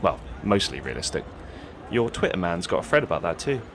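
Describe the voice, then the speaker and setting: An actor playing a dim, credulous, laid-back young man in a satirical audio drama, casual and deadpan. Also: low voice, fairly quick, a little hiss or hum. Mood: earnestly sure.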